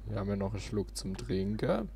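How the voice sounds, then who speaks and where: A man's voice: lowish voice, big pitch swings, fairly quick, gravelly, dark tone, a little hiss or hum. A man casually commentating as he plays a video game. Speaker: let's player.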